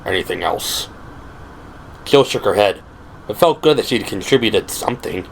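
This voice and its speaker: A man narrating an audio drama in a measured, scripted storytelling style. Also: fairly low pitch, animated, slight background noise, poor audio.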